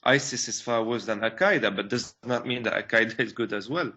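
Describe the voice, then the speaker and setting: Man from Syria, video call guest, bright edgy tone, halting earnest delivery, lo-fi webcam sound. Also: mid-range voice. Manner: earnest, halting with many fillers and restarts. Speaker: guest activist. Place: small room indoors. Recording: dull lo-fi call audio.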